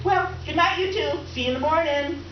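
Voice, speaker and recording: A woman plays a middle-aged houseguest who gushes and chatters obliviously in a stage comedy. Her voice is high and very lively, heard from a distance through clear background noise. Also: a little fast. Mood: gushing. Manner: gushing, rambling, dramatic, oblivious. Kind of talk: comic stage readers theater. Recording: roomy, distant, dull, noisy stage recording.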